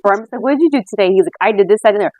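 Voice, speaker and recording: A woman's voice: mid-range voice, very fast, no echo. Slightly buzzed, chatty woman in her late thirties. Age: late 30s. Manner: animated storytelling with acted-out quotes, lots of "like". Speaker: podcast co-host. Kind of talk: casual boozy chat among friends.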